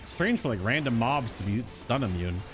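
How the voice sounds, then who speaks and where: A man's voice: mid-range voice, animated, moderate pace, over music. A man giving casual live commentary on a game he is playing, with muttered asides. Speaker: streamer playing and reading a game aloud.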